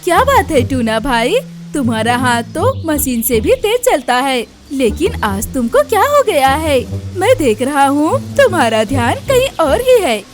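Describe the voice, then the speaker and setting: A woman's voice: high-pitched, big pitch swings, a little slow. A woman voicing a friendly, encouraging male coworker bird, brotherly and concerned, in a Hindi cartoon story. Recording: music behind the voice.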